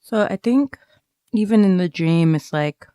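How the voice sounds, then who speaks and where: A woman being interviewed, talking casually and reflectively, halting as she searches for words. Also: lowish voice, expressive, mellow, no room sound, studio quality. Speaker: interviewed student writer.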